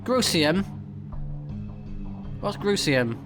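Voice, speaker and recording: A man's voice: high voice, big pitch swings, very slow. A man doing casual let's play commentary, reading along and reacting in a blunt, informal way. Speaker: gaming YouTuber. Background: loud game music.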